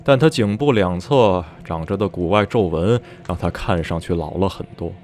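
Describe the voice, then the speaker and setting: A man acting as a low-voiced, uneasy witness. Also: a little mellow, a little hiss or hum, lightly acted. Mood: grave, disgusted. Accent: Mandarin. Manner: measured, literary storytelling with grave unease. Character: uneasy man recounting his dreadful memories of a sinister town. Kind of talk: radio drama acting, narrating in interview. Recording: music behind the voice.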